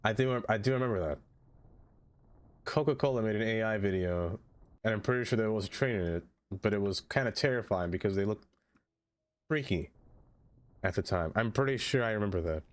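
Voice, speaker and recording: A man's voice: fairly low pitch, a little fast, long pauses. Stuffy-nosed man chatting on stream. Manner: chatty, excitable, greets viewers by name. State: stuffed-up nose. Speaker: game streamer. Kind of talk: casual livestream chat, reading chat messages.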